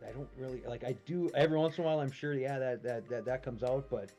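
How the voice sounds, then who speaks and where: Minnesota guy on a trivia stream, mid pitch, laughs a lot, faint music under. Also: fast, dark tone. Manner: laughs easily, chatty, trails off in asides. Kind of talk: casual chat.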